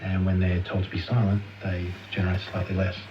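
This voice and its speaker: A low-pitched man explains his subject conversationally as a radio interview guest. The sound is a dull playback from some distance, with clear noise over it. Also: monotone, a little fast. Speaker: expert guest on a radio programme.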